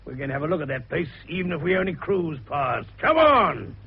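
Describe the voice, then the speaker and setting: An actor playing a hearty, eccentric older British doctor-sleuth in a radio drama, avuncular and commanding, with booming old-fashioned exclamations. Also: male voice, very animated, brisk, very noisy. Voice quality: gravelly, husky. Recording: muffled old radio broadcast.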